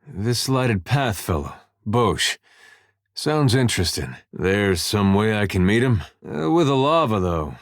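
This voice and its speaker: An actor playing a laid-back, wry farmer and veteran soldier in a video game, with a folksy, understated drawl. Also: male voice, on the low side, no room sound, clean studio sound. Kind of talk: video game character dialogue.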